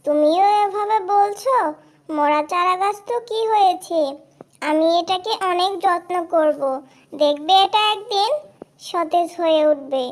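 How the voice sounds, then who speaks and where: A woman's voice: very high voice, a little slow. A woman voicing an eager, tender-hearted little girl animal in a cartoon fairy tale, earnest and pleading. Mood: earnest, hopeful.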